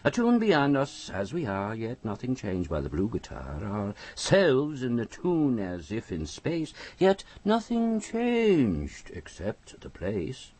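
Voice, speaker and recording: A man's voice: medium pitch, wildly swooping pitch, slow pace, hardly any pauses, a little mellow. A man reciting poetry in a theatrical, dramatic manner with strong emphasis. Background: music behind the voice.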